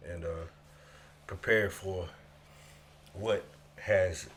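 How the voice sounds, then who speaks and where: Deep-voiced stuffy podcast man. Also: steady tone, slow pace, a bit bright, a little hiss or hum. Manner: laid-back, slangy, swears freely. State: stuffy from a summer cold. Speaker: podcast co-host. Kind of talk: casual chat.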